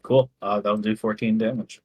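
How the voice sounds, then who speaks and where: A game master with a slightly low, husky voice talks slowly and calmly through each ruling. He pauses often with ums. The recording is dry and close. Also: no echo. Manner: unhurried rulings with frequent ums. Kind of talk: running a tabletop game.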